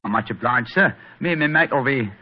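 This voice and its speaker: A man's voice: mid-range voice, expressive, fairly quick, pitched above the performer's own voice, lightly acted. A man playing a detective disguised as a chirpy cockney plumbing inspector, in a 1940s radio play, on a dull old broadcast recording. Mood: cheeky, servile. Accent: London cockney put on. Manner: chirpy, deferential, dropped grammar.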